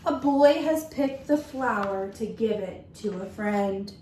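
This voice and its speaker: Slow-paced woman reading to young children. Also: medium pitch, lively intonation, darkish tone. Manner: simple, instructive, addressing young children. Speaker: kindergarten teacher reading a picture book aloud. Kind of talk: children's story read-aloud with questions to listeners. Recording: echoey room with faint background noise.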